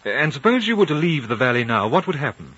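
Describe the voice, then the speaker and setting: Muffled vintage radio recording of a thoughtful man in his late thirties. His bright, husky voice is earnest and incredulous as he questions and narrates. The delivery is measured and dramatic, in the 1950s radio manner. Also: mid-range voice, sing-song. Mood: questioning.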